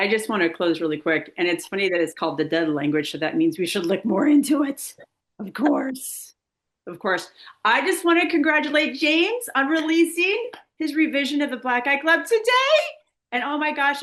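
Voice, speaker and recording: A woman's voice: fairly high pitch, fairly bright tone. Gushing, enthusiastic woman. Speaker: group call participant. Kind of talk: casual chat.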